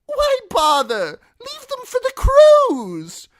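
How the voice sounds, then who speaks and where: A man voicing a cowardly male jester who pleads in a shrill, crying voice. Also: huge pitch swings, slow pace, dry recording, studio quality, full character voice. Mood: whining, pleading. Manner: high crying pleading, mocking.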